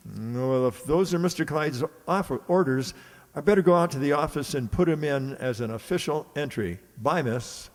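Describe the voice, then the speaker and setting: A man's voice: on the high side, moderate pace, close to an everyday voice. A man playing a skeptical, grumbling racehorse trainer in an old-time radio comedy, with a dry manner. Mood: doubtful. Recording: reverberant hall.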